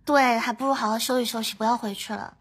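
A woman's voice: on the high side, steady tone, fast talker, slightly rough. A woman hosting a podcast in casual conversation. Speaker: podcast host. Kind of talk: casual chat.

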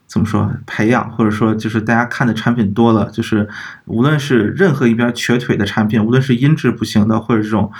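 A man co-hosting a podcast, explaining audio gear in a measured, hedging, conversational way. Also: fairly low pitch, fairly even intonation, slightly rough. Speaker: podcast co-host. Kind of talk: casual discussion of audio gear.

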